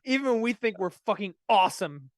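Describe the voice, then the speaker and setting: Higher-voiced American guy, casual and sweary. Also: animated, fairly quick, dry recording, clean studio sound. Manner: blunt, sweary, joking. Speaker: livestream co-host. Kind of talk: casual chat with anecdotes.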